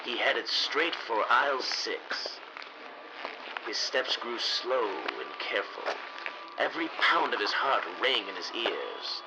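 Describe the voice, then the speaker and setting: A man giving scripted narration for a 1930s-style radio mystery, telling the story dramatically with deliberate pauses. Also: fairly low pitch, background noise.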